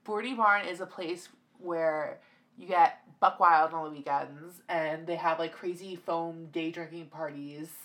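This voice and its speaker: A young woman co-hosting a podcast in casual chat, teasing and chatty, with a loud voice. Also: low voice, steady tone, unhurried. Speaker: podcast co-host. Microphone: podcast microphone.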